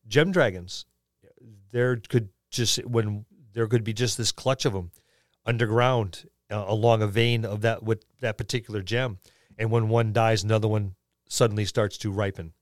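A man's voice: fairly low pitch, fairly even intonation, a little slow, no echo, studio quality. A man co-hosting a podcast in a casual, conversational discussion of tabletop gaming. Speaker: podcast co-host.

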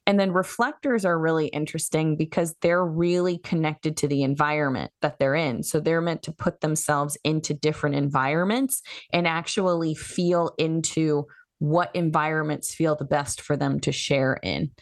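A woman's voice: low-pitched, steady tone, normal speed, no room sound, clean studio sound. A woman who works as a human design coach, explaining her methods as a podcast guest in a coaching tone. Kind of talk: podcast interview.

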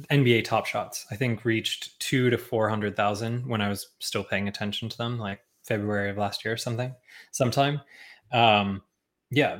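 A young man talking as a guest on a podcast, relaxed, with lots of ums and likes and frequent chuckles. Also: on the low side. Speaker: podcast guest, tech founder. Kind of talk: casual interview chat.

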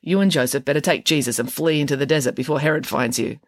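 A woman voicing a bossy, sharp-tongued, glamorous female pig from a storybook, scolding in a no-nonsense way. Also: low voice, quick pace, dry recording, clean studio sound. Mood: commanding.

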